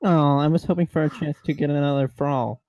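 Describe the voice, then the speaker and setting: A man's voice: a little high, steady tone, brisk, darkish tone, rough recording. A man bantering casually as a player in a tabletop roleplaying game, playful and jokingly argumentative.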